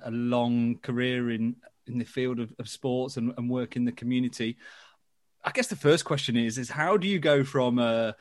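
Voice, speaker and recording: A man's voice: medium pitch, no echo. A man with a Geordie accent co-hosting a podcast in casual, jokey banter. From Newcastle, England. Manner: jokey, self-mocking banter. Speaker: podcast co-host.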